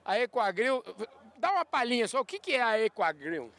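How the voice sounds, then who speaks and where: A man's voice: extremely high pitch, very animated, brisk, a bit bright. A man hosting a podcast, interviewing a guest outdoors at a farm show in a chatty, folksy way with eager questions. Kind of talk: casual interview chat.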